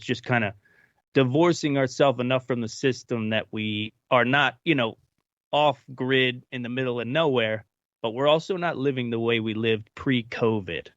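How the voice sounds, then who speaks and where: A man's voice: medium pitch, dry recording. A man on a discussion panel, talking casually and reflectively, often searching for his words. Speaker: panel participant. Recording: dull, dry, lo-fi sound.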